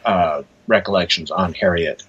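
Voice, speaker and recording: A man being interviewed as an author, talking casually and haltingly, often searching for words. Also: sits low, a bit bright, breathy edge. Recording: dull lo-fi audio.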